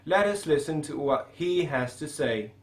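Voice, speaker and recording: A man's voice: a little high, animated, a little slow, echoey room. A young man reading a scripted news report in English as the anchor. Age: young adult.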